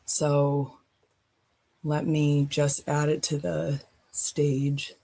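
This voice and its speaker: A woman's voice: sits low, monotone, extremely slow, vocal fry, warm tone. A woman hosting a live stream, chatting loosely and swearing casually as she sets up her screen. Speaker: livestream host.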